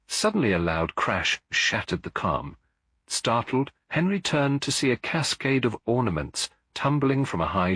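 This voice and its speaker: A man reading a scripted mystery story as a narrator, in a measured, solemn and dramatic way. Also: low voice, a bit bright, slightly rough, dry recording, studio quality. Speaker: audiobook narrator.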